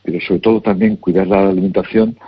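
Very deep breathy male health advisor. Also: fairly even intonation, muffled recording. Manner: measured, instructive, repeats product names. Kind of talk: advisory call-in consultation.

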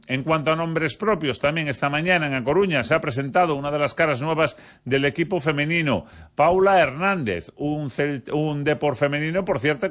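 A man with an accent from Spain presenting a sports news bulletin on the radio, with a halting, hesitant delivery. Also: on the high side, a bit bright. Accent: Spanish, from Spain.

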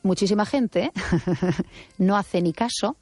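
A woman's voice: mid-range voice, takes it slowly, darkish tone, dry recording, faint music behind. This is a woman who talks in an assertive, opinionated way. She argues her points firmly and corrects the other speaker. Her voice is recorded very dry, with no room sound. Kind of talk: opinionated radio talk.

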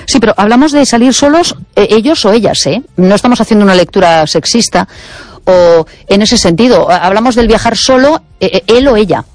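A woman hosting a radio program, asking probing questions and filling pauses with short acknowledgments. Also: mid-range voice, animated, darkish tone, dry recording. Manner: probing, many ujú backchannels. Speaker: radio host. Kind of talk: radio interview.

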